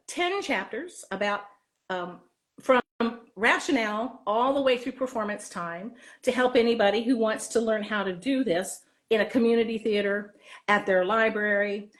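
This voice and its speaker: Female interview guest, author-teacher type, higher voice, conversational and chatty. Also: normal speed. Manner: chatty, acts out quoted dialogue. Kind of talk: animated casual interview talk.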